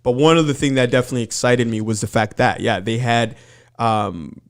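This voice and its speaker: Gravelly-voiced man hosting a podcast. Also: mid-range voice, a little fast. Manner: relaxed, friendly, asks questions with "like" and "dude". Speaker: podcast host. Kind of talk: casual interview chat.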